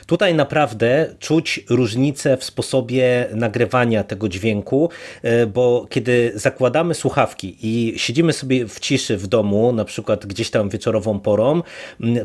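A man's voice: mid-range voice, normal speed, darkish tone. A man discussing things casually as a podcast co-host.

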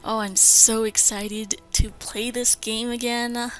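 A woman's voice: on the high side, little pitch movement, slow pace, a bit bright, faint music behind, rough recording. A woman hosting a let's play with casual, enthusiastic, chatty commentary. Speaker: gaming host.

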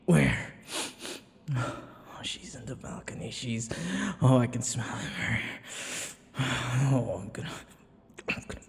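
A man playing an obsessive, menacing, grieving vampire in an ASMR roleplay, breathy and halting, repeating his words. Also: mid-range voice, animated, long reverb tail. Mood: vengeful. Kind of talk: ASMR roleplay audio drama.

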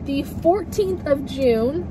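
Young Southern woman, playful car vlogger, high and animated, dashboard camera in a car with noise behind. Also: takes it slowly. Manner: chatty, playful, excitable exclamations. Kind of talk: casual car vlog chat. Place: parked car, indoors. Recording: noisy car with outside ambience.

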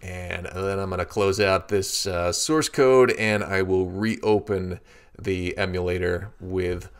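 Low-voiced man explaining code. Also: slow, clean tone. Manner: steady step-by-step explaining. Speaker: programming tutorial instructor. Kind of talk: screen-recorded coding walkthrough.

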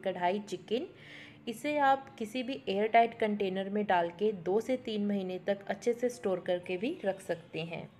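A woman's voice: mid-range voice, warm tone. A woman giving a step-by-step instructional cooking voice-over, mixing in English words. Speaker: cooking show host. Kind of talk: recipe tutorial voice-over.

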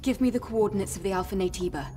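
Woman playing serious game heroine, young android fighter, normal pitch, determined, music behind. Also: racing, natural voice. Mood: resolute. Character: resolute, composed young female android warrior. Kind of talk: cinematic video game dialogue.

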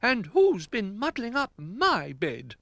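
Man doing a bossy, high-pitched teddy bear. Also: wildly swooping pitch, slow, vocal fry, a bit bright, heavily acted. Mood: indignant. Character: brisk, bossy male teddy bear, middle of three.

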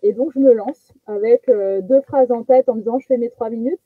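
Young female comedian from French Guiana, casual self-mocking storyteller, slightly high voice, tinny phone-like call audio. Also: a little fast, slightly rough, dry recording. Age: young adult. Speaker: guest stand-up comedian. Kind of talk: casual chat, telling personal anecdotes. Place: small attic bedroom. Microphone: headset on a video call. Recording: muffled phone-like video call sound.

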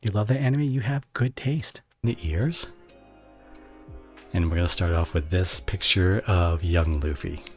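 A man's voice: low voice, measured pace, a little mellow, faint music behind. A man streaming from home, chatting casually with viewers in a rambling, self-interrupting way and laughing often. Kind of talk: casual livestream chat with viewers.

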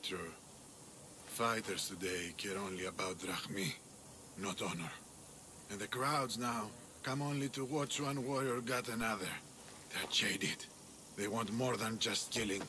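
An actor playing an older male arena master and veteran warrior, speaking in a grave, measured, world-weary way. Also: lowish voice, noisy background, lightly acted.

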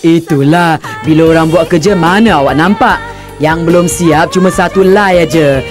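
A man's voice: fairly high pitch, moderate pace, close to an everyday voice. Man playing a nagging, exasperated cartoon mother. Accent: Singaporean Malay. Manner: nagging, complaining. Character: nagging, exasperated middle-aged housewife and mother. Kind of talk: cartoon voice acting. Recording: music clearly under dialogue.

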